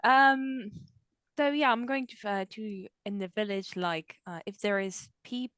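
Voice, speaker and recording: Slow-paced woman playing a tabletop game. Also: mid-range voice, fairly even intonation, a bit bright, clean tone, no echo. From France. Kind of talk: casual chat in a tabletop game.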